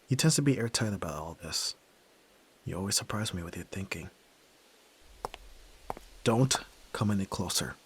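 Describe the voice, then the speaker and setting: A man acts out a young, nerdy yakuza heir for a dramatic ASMR roleplay. His voice sits fairly low with a husky grain. He is brusque and tormented, speaking curtly as he pushes the listener away. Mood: irritated, guarded. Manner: brooding, curt, pushing the listener away.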